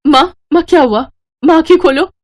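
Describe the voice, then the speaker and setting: A woman's voice: high-pitched, normal speed, heavy background noise, pitched above the performer's own voice, close to an everyday voice. Woman playing a kind, worried young daughter. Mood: alarmed.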